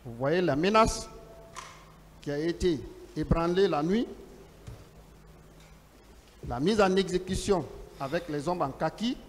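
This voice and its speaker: A man speaking as a lawyer in a formal hearing, reading testimony aloud and putting questions in a deliberate, declamatory way with pauses between short phrases. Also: fairly high pitch, slight background noise. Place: large courtroom. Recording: reverberant large courtroom, long echo.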